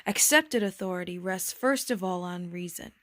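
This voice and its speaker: A woman's voice: lowish voice, normal speed, fairly bright tone, dry recording, close to an everyday voice. A woman voicing a proud, pompous king in a storybook, with a stately, emphatic, declamatory delivery. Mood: reasoning, authoritative. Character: proud, pompous, commanding but reasonable old-fashioned king.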